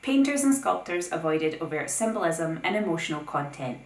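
A young woman hosting an art video, reading a scripted essay with opinionated, lively asides. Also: on the low side, normal speed, roomy sound, a little hiss or hum. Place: plain indoor room.

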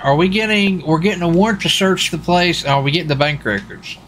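A man's voice: high voice, brisk, a bit bright, a little hiss or hum, rough recording. A man bantering casually and teasingly in character during a police roleplay game. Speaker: roleplay gamer playing a lawman.

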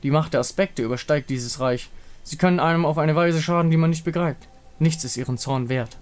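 A man voicing a solemn, fatalistic warrior woman of the moon in a game story, grave, formal and measured. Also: on the high side, natural voice. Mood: ominous. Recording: music behind the voice.

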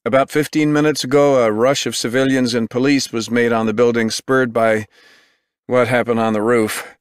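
A tense TV field reporter talks in a raised, urgent voice, pitched well above the reader's own, as a narrator puts him on. The sound stays dry and close. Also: male voice, level tone, moderate pace, smooth, no room sound, lightly acted. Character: male on-scene TV reporter, tense, breathless.

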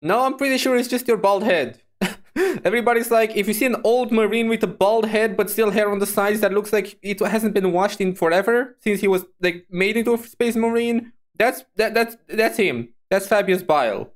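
A streamer giving casual reaction commentary in an animated, rambling, giggly way into a close microphone. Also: mid-range voice, normal speed.

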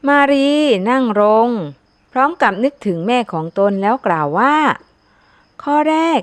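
Female storyteller, higher-pitched voice, close dry recording, for scripted children's folktales. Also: animated, moderate pace, fairly bright tone, dry recording. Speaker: storyteller, channel host.

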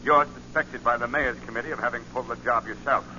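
Brusque police commissioner, rapid, piercingly sharp, 1940s radio drama, muffled recording. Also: male voice, mid-range voice, fairly even intonation. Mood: indignant. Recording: muffled, faintly noisy 1940 radio recording.

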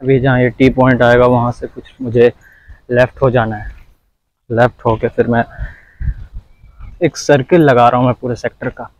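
A man's voice: mid-range voice, level tone, moderate pace, pauses often, dry recording, lo-fi sound. A man vlogging as he walks outdoors, chatting to viewers and giving them casual, instructive advice. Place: outdoors, walking in the sun.

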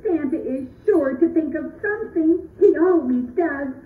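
Puppet-show Santa's elf, woman performer: earnest, excitable, slow lively delivery, muffled phone-like sound. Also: sits high. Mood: anxious. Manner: earnest, exclamatory, drawn-out delivery. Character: eager, excitable young elf helper of Santa. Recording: roomy, distant, muffled phone-like audio.

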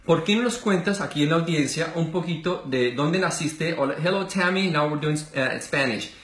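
A man's voice: fairly high pitch, normal speed. A man in his thirties from Colombia, hosting a casual interview in an office and gesturing as he talks. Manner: animated, gestures a lot, many fillers. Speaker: host. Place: small office with wall posters. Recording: roomy office echo.

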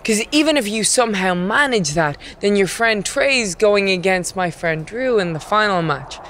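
A woman's voice: medium pitch, lively intonation, normal speed, fairly bright tone, lightly acted. An actress playing a brash, cocky woman wrestler in a video game, taunting and swaggering. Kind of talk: scripted video game cutscene acting.

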